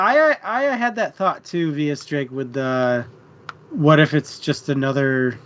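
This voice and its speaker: A man's voice: on the high side, fairly bright tone. A man hosting a book discussion stream, speaking informally to his co-host and the live chat. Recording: dull lo-fi stream, faint noise.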